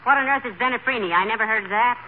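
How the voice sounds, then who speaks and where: A woman's voice: fairly high pitch, very fast, phone-quality sound, close to an everyday voice. An actress playing a patient middle-aged wife who indulges her husband sweetly and teases him dryly, in 1940s radio sitcom style. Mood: indulgent. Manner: sweetly indulgent with dry teasing. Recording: thin, hissy 1940s radio broadcast.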